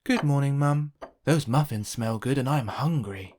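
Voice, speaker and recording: A man's voice: mid-range voice, a little slow, a little mellow, natural voice. A man voicing an impatient, whiny young girl in a children's storybook, dramatic and complaining. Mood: eager.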